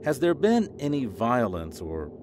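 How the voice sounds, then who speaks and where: A man's voice: medium pitch, wildly swooping pitch, a little slow, background noise. A man playing an earnest, polite school principal in an audio drama, procedural and slightly awkward. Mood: earnest, formal. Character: earnest, officious male school principal, reassuring but awkward.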